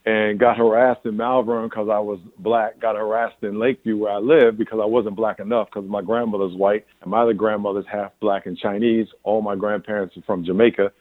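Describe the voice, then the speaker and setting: A man's voice: lowish voice, little pitch movement, a little fast, hardly any pauses, no room sound. A man in his sixties from New York, a pastor answering interview questions candidly, rambling with restarts. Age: 60s. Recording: tinny phone line.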